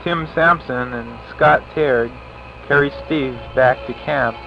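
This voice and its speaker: A man's voice: mid-range voice, measured pace, creaky, muffled recording. A man earnestly narrating a homemade horror audio play in a dramatic, amateur reading style. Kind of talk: dramatic scripted horror story narration, speaking character lines himself.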